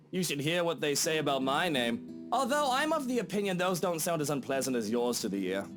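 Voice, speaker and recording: A woman's voice: low-pitched, very animated, brisk, faint music behind, voice pushed down. A woman voicing an irate, haughty upper-class man, speaking in a pompous, curt and condescending way for a visual novel. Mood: mocking, smug. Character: irate, haughty, sharp-witted British upper-class husband.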